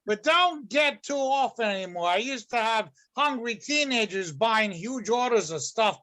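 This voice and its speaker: A man's voice: very high-pitched, lively intonation, normal speed, pushed and bright, heavily acted. A man playing a grumpy, streetwise middle-aged lunch truck worker in an amateur readers theater reading. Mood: brash. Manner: streetwise griping, slangy. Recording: videoconference call audio.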